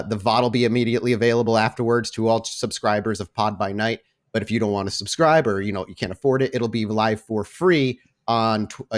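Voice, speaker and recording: A man speaking as a podcast host and game storyteller, chatty and informal. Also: lowish voice. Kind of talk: podcast announcements and game narration.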